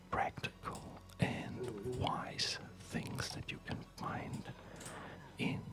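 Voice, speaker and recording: A man whispering in a hesitant, halting, reflective way, as in a rambling ASMR video. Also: sharp tone. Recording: music behind the whisper. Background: music.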